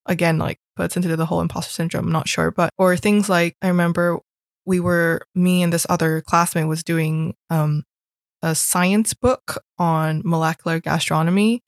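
A woman's voice: on the low side, fairly even intonation, normal speed, vocal fry, no echo, studio quality. A young woman from Hong Kong, chatting casually about her school days and peppering her talk with "like". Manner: chatty storytelling, heavy use of "like". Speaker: guest recalling school experiences. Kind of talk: casual chat.

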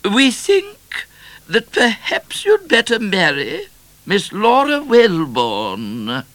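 A man voicing a stern, weary queen in a storybook, with a pompous, condescending, drawn-out royal delivery. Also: high voice, huge pitch swings, a bit bright, no echo, voice pushed up, exaggerated voice.

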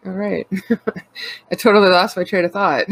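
A Canadian woman talks openly in a loose, rambling way, full of fillers and quick laughs. Her voice sounds stuffed up from a cold, with a gravelly fry running under it. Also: medium pitch, flat delivery, poor audio. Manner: rambling and candid, laughs often, many fillers. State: congested with a cold. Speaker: podcast co-host. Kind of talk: casual personal chat.

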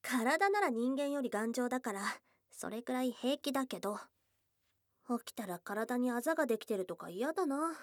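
A woman's voice: a little high, lively intonation, normal speed, no room sound, clean studio sound, close to an everyday voice. A woman playing a young goblin girl, a blunt, teasing yet shy girlfriend, in an intimate ASMR voice drama. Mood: amused, teasing. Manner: blunt tomboyish speech, teasing and bashful. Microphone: close dry studio microphone.

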